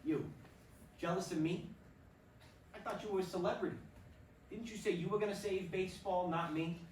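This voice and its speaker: A man's voice: high voice, fairly even intonation, normal speed, a little mellow, close to an everyday voice. A man in a staged play reading playing an earnest, pleading ballplayer and expectant father grieving his dad. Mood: firm. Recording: roomy stage recording, distant mic, faint noise.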